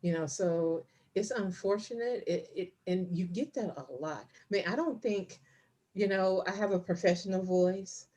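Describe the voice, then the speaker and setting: A woman's voice: medium pitch, normal speed, warm tone. A middle-aged woman co-hosting a podcast, telling stories casually with lots of fillers and restarts. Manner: storytelling, many fillers and restarts. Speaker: podcast co-host. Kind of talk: casual chat.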